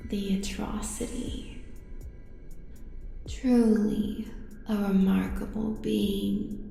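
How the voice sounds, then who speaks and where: A woman's voice: mid-range voice, level tone, very slow, creaky, warm tone, exaggerated voice. Woman as cosmic female villain for horror audio: heavily echoed, languid, seductive, commanding, faint music behind. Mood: seductive, fascinated. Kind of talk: horror audio roleplay acting. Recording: long echoing reverb with faint music.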